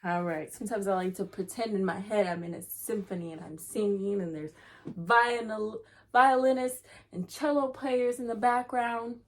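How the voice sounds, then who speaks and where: A woman's voice: mid-range voice, steady tone, normal speed, background noise. A woman hosting a children's read-aloud, chatting playfully to young listeners and reading aloud.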